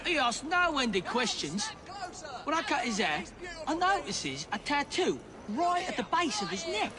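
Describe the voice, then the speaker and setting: High-voiced chatty barber. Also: male voice, big pitch swings, a little slow, crowd noise, full character voice. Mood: gossipy, confiding. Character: chatty London barber, a drinker with shaky hands. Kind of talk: video game dialogue acting.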